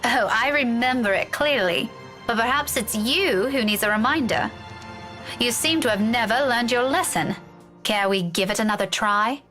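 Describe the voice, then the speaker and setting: A woman's voice: a little high, moderate pace, bright, forceful tone, soft background music. An actress playing a wry, defiant young woman in a visual novel game. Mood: taunting, defiant.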